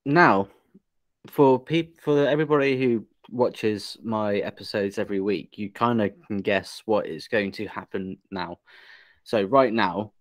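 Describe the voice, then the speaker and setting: A man's voice: fairly low pitch, unhurried. A man with an English accent hosting a podcast, chatting casually, joking and laughing often as he asks teasing questions. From England. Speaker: podcast host. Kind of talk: casual interview chat.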